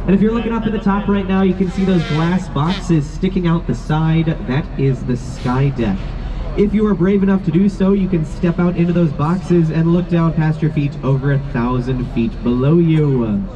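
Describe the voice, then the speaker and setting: A man's voice: on the high side, normal speed, crowd noise. Wry tour guide man on a boat. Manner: wry, deadpan jokes with punchy delivery. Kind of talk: scripted joke-filled guided tour commentary. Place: outdoors on an open river boat among tall buildings. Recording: dull lo-fi sound with loud city and boat noise.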